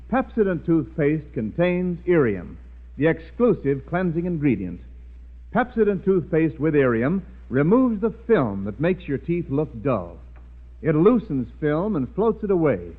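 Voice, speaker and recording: An American man reading a 1940s radio advertisement as an announcer, emphatic and polished. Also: on the high side. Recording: tinny, narrow-band 1940s radio recording.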